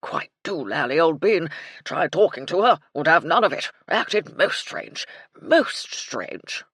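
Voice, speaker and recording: A woman's voice: sits low, very animated, takes it slowly, a bit bright, husky, no echo, clean studio sound, full character voice. A woman voicing a tipsy, blustering old English army colonel with a bluff, clipped manner and old-fashioned slang, read in an audiobook. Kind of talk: audiobook character voice.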